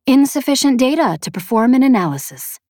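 Sci-fi audiobook AI woman performing: feminine, emotionless, raised pitch, literal and clipped. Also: big pitch swings, fairly quick, mellow, dry recording, clean studio sound. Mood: detached. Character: emotionless feminine AI inside a floating metal sphere.

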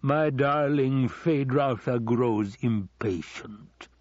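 Audiobook villain, played by a man: scheming older nobleman, husky, slow, silkily taunting, dry recording. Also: medium pitch, lively intonation, a little mellow, dry recording, dull audio. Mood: mock-affectionate. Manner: slow, drawn-out, taunting delivery.

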